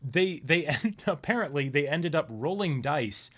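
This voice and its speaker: Rambling, excited male podcast host. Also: a little high, measured pace. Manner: enthusiastic, rambling, many "like" fillers. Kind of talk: casual chat.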